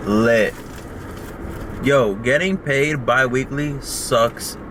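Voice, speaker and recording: A man's voice: mid-range voice, very slow, background noise. A man talking in a casual, rambling way about his work while eating, filmed in a car. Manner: laid-back, rambling, heavy on "like". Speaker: vlogger and rideshare driver. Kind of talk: casual mukbang chat.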